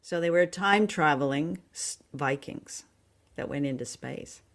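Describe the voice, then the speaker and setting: A woman co-hosting a podcast, telling things informally in a halting, conversational way. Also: low voice. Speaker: podcast co-host. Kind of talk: casual chat, informal storytelling.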